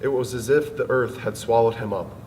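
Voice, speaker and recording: A man's voice: mid-range voice, darkish tone, a little hiss or hum. Solemn male narrator in a long hall reverb. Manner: solemn, measured. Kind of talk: dramatic literary reading. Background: string music.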